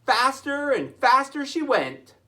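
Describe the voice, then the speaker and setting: An expressive storybook narrator with a bright voice and hugely varied pitch. The reading is playful and aimed at children, with chatty asides along the way. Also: takes it slowly. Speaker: storybook reader.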